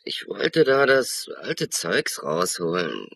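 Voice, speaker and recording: An actor playing an evasive vagrant burglar defending himself under interrogation in a radio crime drama. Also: male voice, sits low, sing-song, takes it slowly, gravelly, a bit bright, a little hiss or hum, full character voice. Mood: defensive, evasive. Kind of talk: German radio play acting.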